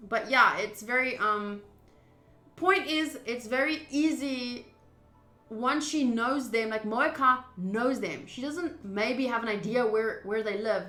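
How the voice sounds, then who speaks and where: A woman's voice: fairly high pitch, takes it slowly, sharp tone, echoey room, faint music behind. A woman streamer doing a game playthrough, reading text aloud and adding casual commentary.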